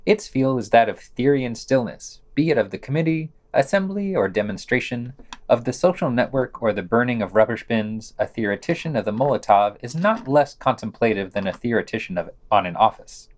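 A man's voice: mid-range voice, lively intonation, brisk. A man co-hosts a scripted news podcast in a mid-range voice. His intonation swings widely, giving readings a theatrical emphasis and his commentary a sarcastic edge.

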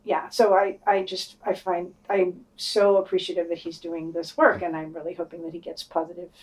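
Casting: woman, lowish voice, opinionated podcast panelist, loose conversational delivery with casual swearing. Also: flat delivery, moderate pace, low-quality recording. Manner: opinionated, informal, frequent swearing. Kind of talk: casual discussion.

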